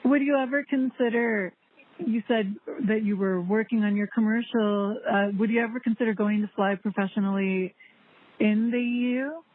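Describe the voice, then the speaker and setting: A woman's voice: on the high side, fairly even intonation, normal speed. Woman host, casual interviewer, friendly; lo-fi phone-line sound. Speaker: podcast host.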